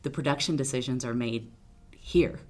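A woman attorney from Arkansas, answering interview questions in a loud, outgoing, talkative way. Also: very deep voice, moderate pace. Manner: loud, big personality, talkative. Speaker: attorney guest.

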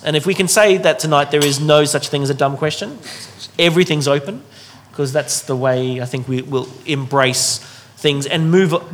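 Rambling man hosting a dinner. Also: mid-range voice. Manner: loose and rambling, with many fillers and restarts. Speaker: host of a dinner discussion. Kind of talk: casual welcoming talk to a group.

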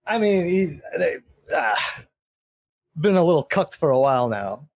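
A man's voice: on the high side, very animated, a little slow, no echo, clean studio sound. A man in a casual podcast chat, rambling and swearing as he defends his grievances. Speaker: guest.

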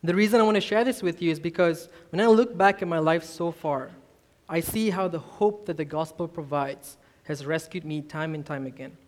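Young man from Malaysia, mid-high voice, earnest sermon telling his story. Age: 20s. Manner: earnest, self-deprecating, admits nervousness. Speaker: youth minister preaching. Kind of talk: personal testimony sermon.

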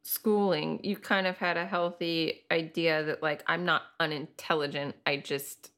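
Lowish woman's voice, podcast cohost, chill and agreeable, lots of yeah right. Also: fairly even intonation, a little slow, a bit bright. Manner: supportive, reflective, agreeing a lot. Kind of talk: casual podcast chat.

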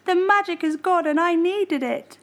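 A woman's voice: extremely high pitch, moderate pace, pitched above the performer's own voice. A woman voicing a grumpy, wistful male bear in a children's storybook, speaking emphatically. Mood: sad, tearful.

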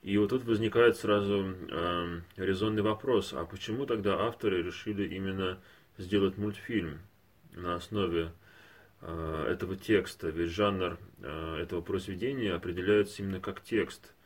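A man speaking as a podcast panelist in an unscripted analytical discussion, choosing his intellectual phrasing haltingly. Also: low voice, fairly even intonation.

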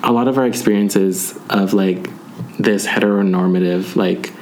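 Low-voiced young man, supportive listener. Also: steady tone, normal speed, darkish tone, slight background noise, low-quality recording. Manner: supportive listener, frequent "mm-hmm", filler-heavy. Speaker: podcast co-host. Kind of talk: candid personal conversation.

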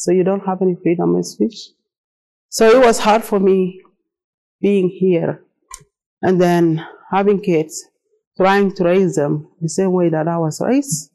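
South Sudanese-accented woman with a mellow voice. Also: lowish voice, poor audio. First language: Arabic and Dinka. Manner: plain, earnest, non-native English phrasing. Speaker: speaker telling her own story. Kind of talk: personal presentation to listeners.

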